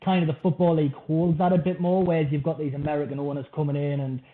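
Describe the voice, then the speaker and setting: A man with a North East English accent hosts a relaxed podcast, chatting eagerly and informally. His voice sits a little high for a man. The sound is thin and phone-like, as if coming down a call line. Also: fast talker. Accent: Wearside/Tyneside, from Washington. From North East England. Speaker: podcast host. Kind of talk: casual podcast chat.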